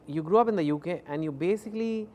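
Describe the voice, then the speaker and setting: Higher-pitched Indian man hosting, echoey hall. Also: quick pace, dark tone. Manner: informal, playful, repeats for emphasis. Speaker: talk show host. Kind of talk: conversational interviewing.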